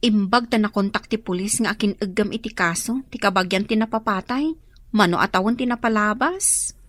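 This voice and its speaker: An actress with a Filipino accent playing a respectful, eager assistant reporting to a superior in a radio drama. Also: female voice, mid-range voice, a little fast, fairly bright tone, no echo, natural voice. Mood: pleased, deferential.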